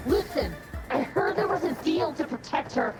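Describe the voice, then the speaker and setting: An anxious street criminal in a video game. Her voice is high. Loud music runs behind it. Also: animated, moderate pace. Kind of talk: video game cutscene acting.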